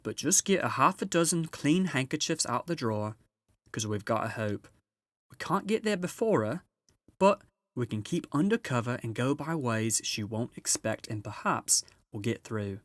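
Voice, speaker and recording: A man's voice: medium pitch, lively intonation, a bit bright, no echo, studio quality, natural voice. A man voicing a bustling, unflappable, motherly female beaver in a storybook, with folksy, fussy talk. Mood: reassuring, bossy. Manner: folksy, coolly unhurried.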